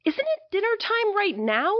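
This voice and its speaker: A woman's voice: extremely high pitch, huge pitch swings, moderate pace, no echo, highs cut off, heavily acted. A woman playing a teasing teenage girl friend.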